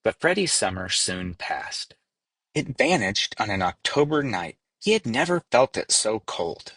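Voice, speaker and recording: A man's voice: lowish voice, big pitch swings, measured pace, a bit bright, slightly rough. A man narrating a storybook as a scripted read-aloud. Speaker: storybook reader.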